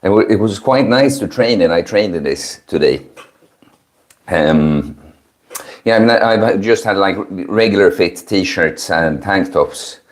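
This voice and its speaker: A man in his early thirties with a low-pitched voice, talking slowly to the viewers of his livestream. His delivery is chill and low-key, like an easygoing, informal chat. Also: expressive. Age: early 30s. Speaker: livestream host. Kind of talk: casual chat with live stream viewers.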